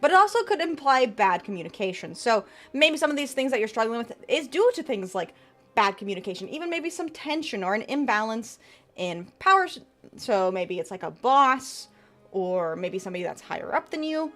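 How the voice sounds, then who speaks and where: A woman's voice: a little high, very animated, brisk, fairly bright tone, faint music behind. A woman VTuber streamer chatting casually with her viewers and giving tarot readings. She laughs often and trails off and restarts as she talks.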